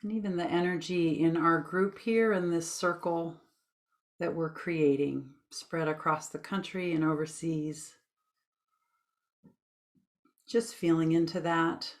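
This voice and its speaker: A woman leading a guided meditation with gentle, informal instructions in a soothing, unhurried manner. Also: sits low, steady tone.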